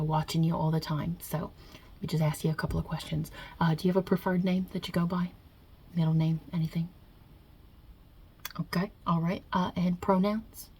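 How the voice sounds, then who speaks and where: A woman voicing a non-binary God as a brash, irreverent mob boss with a put-on Italian-American New York-New Jersey accent, wry and offhand, in an ASMR roleplay. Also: on the low side. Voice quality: husky, breathy, hushed. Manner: wry, offhand, blunt, hesitant pauses, swearing.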